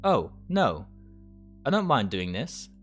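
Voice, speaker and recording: A man reads the part of a kind, quiet young shop girl from a visual novel. The delivery is gentle and hesitant, with lively pitch over faint music. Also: slow, husky, heavily acted. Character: kind, quiet young female store worker. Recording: music behind the voice.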